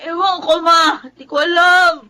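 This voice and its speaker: Rebellious Filipino teen daughter for TV melodrama: piercingly high, bright, whiny and flippant. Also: female voice, level tone, unhurried, exaggerated voice. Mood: evasive. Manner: whiny, dismissive back-talk. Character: Maddie, defiant flippant eighteen-year-old rebellious daughter. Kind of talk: Filipino drama acting.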